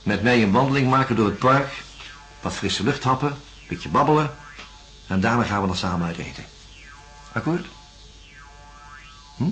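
An actor playing an insistent, placating psychiatrist in a radio drama, reasoning and coaxing in speech. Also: male voice, medium pitch, animated, moderate pace, long pauses, fairly bright tone, faint music behind, lightly acted. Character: male psychiatrist, placating and insistent, defending his methods.

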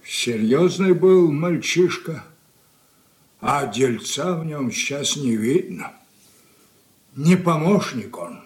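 An actor playing a stern, grumbling old merchant father in a radio drama, gruff and reproachful. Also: on the high side, lively intonation, slow pace, long pauses, darkish tone. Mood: grumbling, scornful. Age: older. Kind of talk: Soviet radio drama acting.

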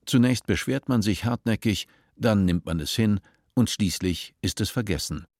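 A man narrating a radio play in a measured, sober way. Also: fairly low pitch, darkish tone, no echo, studio quality.

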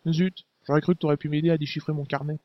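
Male actor as a street-smart young rebel; rapid, slangy, higher voice, dry lo-fi take. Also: flat delivery, no echo, lightly acted. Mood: casual. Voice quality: casual slang, hesitant fillers. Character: young male Nikean resistance fighter, brash and slangy.

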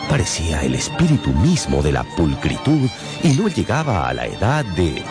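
A man's voice: deep voice, huge pitch swings, normal speed. A man narrating a literary radio novel in a theatrical storytelling manner. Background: music.

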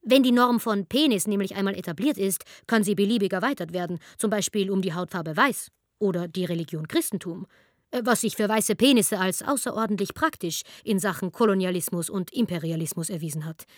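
A woman's voice: mid-range voice, brisk, dry recording, studio quality, lightly acted. A woman playing an ironic, matter-of-fact narrator, delivering scripted satire.

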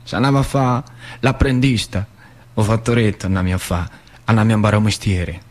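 A man's voice: lowish voice, fairly even intonation, fairly quick, close to an everyday voice. An actor playing an old working-class factory man with a central Italian accent from northern Lazio, rambling and confiding as he reminisces in a theatrical monologue. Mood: reminiscing. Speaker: stage actor.

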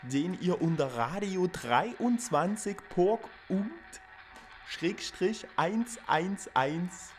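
A man hosting a live radio show, speaking haltingly and playfully repeating himself. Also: high voice, expressive, faint music behind.

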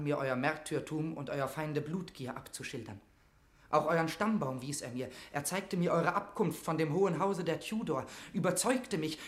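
A man's voice: on the high side, close to an everyday voice. Man playing fervent young nobleman in echoing room. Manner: declamatory, impassioned, solemn. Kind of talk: classic German verse drama, declaimed radio acting.

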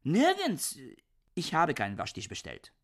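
A man's voice: a little high, huge pitch swings, measured pace, exaggerated voice. An actor playing a pedantic, aloof male scholar in a radio drama, curt, precise and self-important. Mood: irritated.

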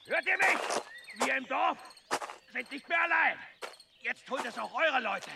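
Bitter village blacksmith, very high raised voice, creaky edge, scornful harangue, noisy background. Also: male voice, lively intonation, measured pace, heavily acted. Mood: scornful defiance. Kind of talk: German audio drama acting.

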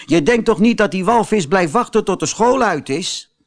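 A man's voice: high-pitched, animated, normal speed, voice pushed up. A man voicing a gang of teasing schoolboys in a storybook. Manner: teasing, jeering. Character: mocking young schoolboys.

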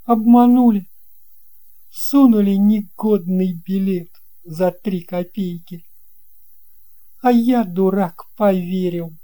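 Man voicing a bitter, raised-pitch young boy. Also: takes it slowly, long pauses, dark tone. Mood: bitter, upset. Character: young boy, bitterly disappointed, self-reproaching.

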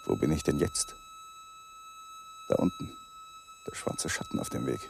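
A man's voice: extremely high pitch, monotone, measured pace. An actor playing a desperate, hunted, lovesick man in a radio drama, thinking aloud in feverish fragments. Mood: wary, agitated. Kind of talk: German radio drama monologue. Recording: noisy 1980s radio drama recording.

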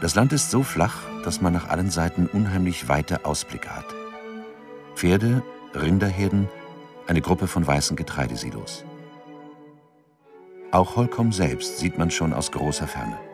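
A man narrating a radio drama in a measured, sober, scripted documentary style. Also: deep voice, expressive, over music.

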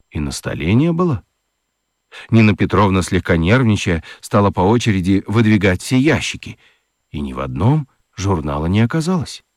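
Male narrator, fairly low voice, animated intonation, dry studio sound, expressive children's storytelling. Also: no echo. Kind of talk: dramatic scripted narration for children's radio.

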